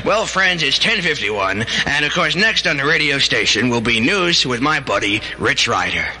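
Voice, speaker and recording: A man's voice: mid-range voice, big pitch swings, normal speed, pushed and bright, husky. An American man hosting as a 1970s radio DJ, bantering in a cocky, theatrical, teasing way. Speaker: radio DJ and show host. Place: radio station studio. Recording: noisy 1970s radio aircheck tape.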